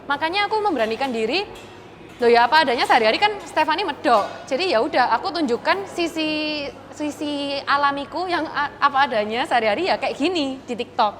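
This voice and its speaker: High-voiced young woman, Surabaya accent. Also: expressive, fairly quick, fairly bright tone, soft background music. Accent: Surabaya Javanese. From Surabaya, East Java, Indonesia. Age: 20s. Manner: chatty storyteller, laughs easily. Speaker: guest, TikTok creator. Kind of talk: casual talk show chat.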